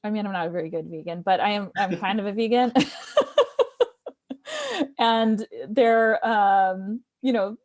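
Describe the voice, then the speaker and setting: A woman's voice: medium pitch, fairly even intonation, a little slow, pauses often, darkish tone. An American woman, a choir conductor, chatting casually and informally, often laughing as she talks. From Fort Wayne, Indiana, United States. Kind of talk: casual conversation.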